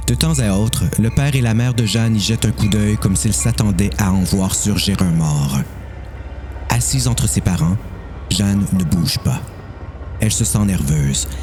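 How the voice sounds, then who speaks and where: A man with a Quebec French accent reading a suspense story in a measured, deliberate way as the narrator of an audio drama. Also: lowish voice.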